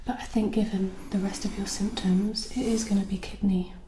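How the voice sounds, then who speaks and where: A woman speaks in a breathy, mellow whisper as an ASMR doctor. She is reassuring and explains each step gently. The recording is a little roomy, with faint background noise. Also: medium pitch, flat delivery, normal speed. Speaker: doctor in an ASMR medical role play. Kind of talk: whispered ASMR medical role play.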